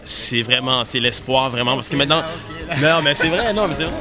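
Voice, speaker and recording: Young male singer, fast and emphatic, bright tone, faint music behind. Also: medium pitch, animated. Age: 20s. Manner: eager, fast-talking, emphatic. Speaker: singer in a vocal group. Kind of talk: casual interview.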